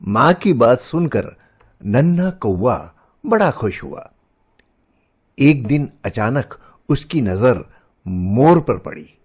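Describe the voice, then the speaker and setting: Slow, animated male storyteller. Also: mid-range voice, slight background noise. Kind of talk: scripted children's story narration.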